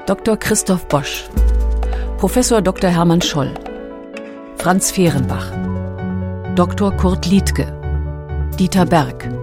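A woman's voice: fairly low pitch, slow, long pauses. A woman announcer reading scripted audiobook credits. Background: music.

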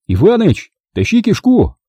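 A man's voice: sits high, huge pitch swings, fairly quick, mellow, breathy edge, no echo, voice pushed up, full character voice. A man voicing a foul-mouthed brawling tough who bellows and mocks in an audiobook story. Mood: urgent bellowing. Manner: bellowing, cursing, mocking.

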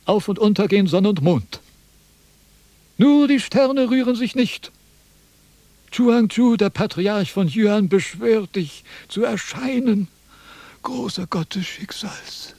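High-voiced, pompous philosopher. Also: male voice, slow, warm tone, exaggerated voice. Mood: solemn incantation. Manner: pompous, lecturing, theatrically declaiming. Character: pompous, quarrelsome male Chinese philosopher in a threadbare coat. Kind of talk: German radio play acting.

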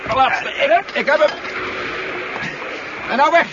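An actor playing an anxious conspirator with an urgent, stammering delivery in a 1970s radio drama. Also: male voice, very high-pitched, slow pace. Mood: hurried, tense. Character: anxious, hurried male conspirator on a daring flight. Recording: dull, very noisy 1970s radio recording.

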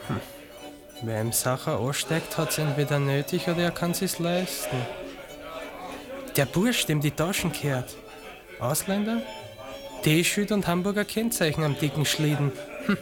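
An actor playing a wary, streetwise petty thief with Viennese accent and slang in a radio play. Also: male voice, a little high, measured pace, pauses often, music in the background, lightly acted. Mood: wary, calculating. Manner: slangy, suspicious.

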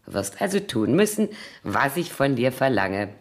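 A woman's voice: extremely low pitch, big pitch swings, normal speed. An actress playing a boozy, nervous older woman who is a sly, needling blackmailer in a theatrical 1970s German radio crime drama. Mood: sly, threatening.

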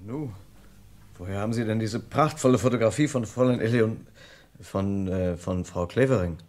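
An actor playing an earnest, courteous gentleman who narrates and assists a detective in an old German radio crime drama, with formal, precise diction. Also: fairly low pitch, normal speed, natural voice. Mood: surprised.

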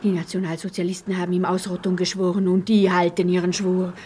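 A woman's voice: lowish voice, little pitch movement, fast, dark tone, natural voice. A woman playing a passionate, noble French patriot in a German radio play, with fervent, solemn, theatrical delivery. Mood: grave, fervent. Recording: noisy old radio play recording.

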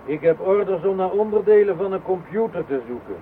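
Dim, rule-bound constable, slightly high voice; lo-fi tinny recording. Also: male voice, lively intonation, a little fast, a little hiss or hum. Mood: stubborn. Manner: stiff, by-the-book. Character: stolid dull-witted male village policeman. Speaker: police constable at roadblock.

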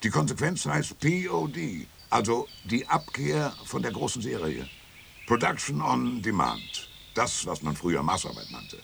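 An actor playing an ironic European thinker who lectures emphatically in a radio play. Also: male voice, sing-song, a little slow, vocal fry, a bit bright, slight background noise, exaggerated voice. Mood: lecturing. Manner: emphatic, lecturing, swings pitch widely.

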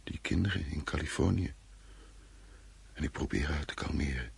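An actor playing a brooding, reflective husband who recounts a dream in a radio drama monologue, his voice gravelly and husky. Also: rumbling low, moderate pace, heavily acted. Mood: detached.

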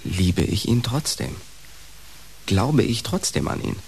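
A resigned, devoted young man in a radio play. He speaks in a low, languid voice with wry, wistful irony, on a faintly hissy recording. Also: very animated, slow, vocal fry, darkish tone, full character voice. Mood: resigned devotion. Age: young adult. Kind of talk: radio drama acting.

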